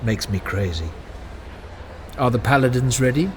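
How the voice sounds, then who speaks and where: A man's voice: mid-range voice, lively intonation, normal speed, slight background noise, natural voice. A man playing a glib, needling diplomat in an audio drama, theatrical and persuasive, an estranged old friend. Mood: glib, exasperated. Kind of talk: full-cast audio drama acting. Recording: dramatic music under the voice.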